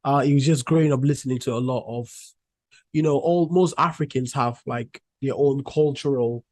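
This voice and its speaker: A young man from Cameroon, a music artist, talking as a guest in a casual interview, relaxed and rambling with many restarts. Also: medium pitch, moderate pace, dry recording.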